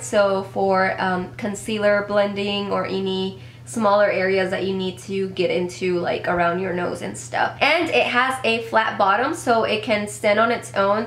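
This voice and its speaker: A woman's voice: medium pitch, fairly bright tone. Chatty young woman with a stuffy cold. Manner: chatty, upbeat, rambling with fillers and self-corrections. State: congested from a cold. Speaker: beauty vlogger. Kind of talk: casual product review to camera. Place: small home room with decor shelves. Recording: echoey room with faint hum.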